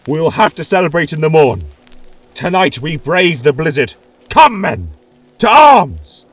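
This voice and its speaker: A man's voice: fairly high pitch, sing-song, a little slow, husky, no echo, soft background music, pitched above the performer's own voice, heavily acted. A man playing a rousing warrior king. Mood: rallying. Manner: loud, rallying, proclamatory. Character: bold young male warrior king, rousing and commanding. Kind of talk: audio drama reading.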